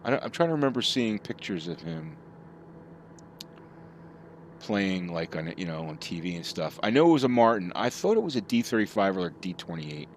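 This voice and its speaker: A man's voice: fairly low pitch, sing-song, normal speed, slight background noise. A middle-aged man chatting casually with his live chat while he plays, rambling from topic to topic. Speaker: streamer.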